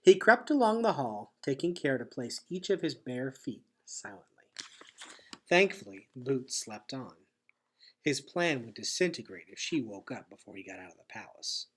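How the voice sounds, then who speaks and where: A man with a husky voice reading a children's novel aloud as expressive storybook narration. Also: medium pitch, normal speed. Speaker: teacher reading a chapter aloud.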